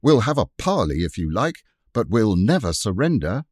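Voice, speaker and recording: A man's voice: lowish voice, sing-song, normal speed, a little mellow, husky, no room sound, studio quality. A man voicing a fierce, defiant young boy in a storybook. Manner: eager, defiant.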